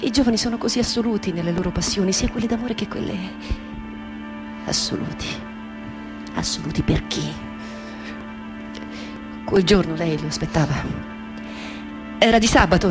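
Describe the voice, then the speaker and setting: A woman playing an older mother in a radio drama monologue, wistful and halting as she remembers, questioning and correcting herself. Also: on the low side, natural voice. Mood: wistful, tender. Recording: music under the voice.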